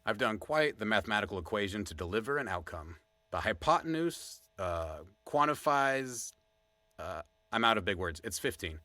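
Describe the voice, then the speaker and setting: A man's voice: on the low side, very animated, normal speed, sharp tone, dry recording. A man playing a wry, opinionated podcast co-host in casual scripted banter. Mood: wry, joking.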